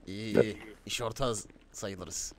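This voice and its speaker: A man's voice: lowish voice, steady tone, slow, crowd noise. A young man chatting casually in a game roleplay, bossy and slangy, swearing as he orders people around. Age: young adult. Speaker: gaming streamer playing a robbery gang boss.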